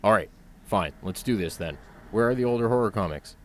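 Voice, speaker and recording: An actor playing a timid, put-upon pizzeria worker, naive and hesitant, in a comedy horror audio drama. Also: male voice, on the low side, expressive, fairly quick, natural voice. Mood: hopeful. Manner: hesitant, meek.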